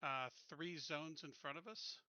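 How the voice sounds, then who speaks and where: A man's voice: on the high side, fairly even intonation, unhurried, pushed and bright, no echo, lo-fi sound. A man talking casually as a player planning moves in an online tabletop game, repeating and insisting on his points.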